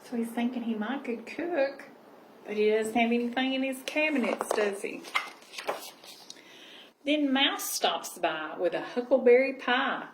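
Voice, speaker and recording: A woman reading a children's picture book aloud and pausing to explain with tag questions. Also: on the high side, lively intonation. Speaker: library storyteller. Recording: roomy echo, clear background noise.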